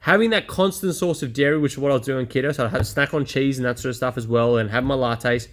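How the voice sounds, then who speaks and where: A man's voice: mid-range voice, fast. Blunt, chatty man in his thirties. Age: 30s. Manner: blunt, chatty, self-deprecating. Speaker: vlogger. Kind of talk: candid personal vlog monologue.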